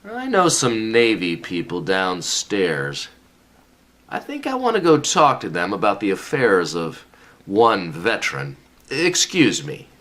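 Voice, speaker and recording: A man acts out a pompous senior official in a radio comedy. His lowish voice swoops dramatically up and down, with a deliberate, scheming authority. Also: huge pitch swings, fairly bright tone, exaggerated voice. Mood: sly. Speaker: actor in a radio play. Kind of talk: sitcom-style radio comedy acting.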